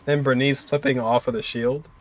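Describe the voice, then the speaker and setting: A young man hosts a casual reaction video, excited and full of slangy exclamations. His voice sits at an ordinary middle pitch, with music playing faintly behind him. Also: moderate pace. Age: young adult. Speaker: game reaction video host.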